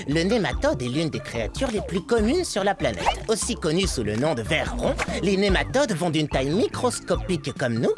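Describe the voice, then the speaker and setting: A woman voicing a brainy, pedantic young microbe in a French cartoon dub, speaking precisely and didactically. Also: on the low side. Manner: didactic, precise, melodramatic when alarmed. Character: brainy, pedantic young microbe friend who explains science.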